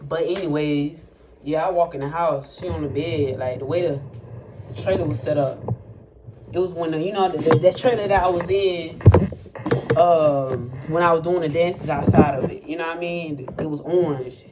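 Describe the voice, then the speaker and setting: A man's voice: on the high side, normal speed. A young man speaking tells a personal testimony in casual, rambling, profane talk, full of fillers and restarts. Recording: noisy recording with clicks.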